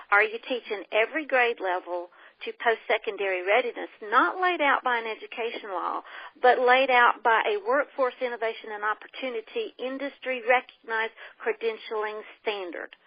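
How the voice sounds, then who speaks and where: A woman's voice: on the high side, normal speed, no echo. The sound is a dull, close telephone connection with no room around it. On it a woman from the United States explains her case as an invited speaker. She is opinionated and emphatic, and she reaches for homely, folksy expressions. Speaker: guest speaker, education activist. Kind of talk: explanatory talk on a conference call. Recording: dull telephone conference-call line.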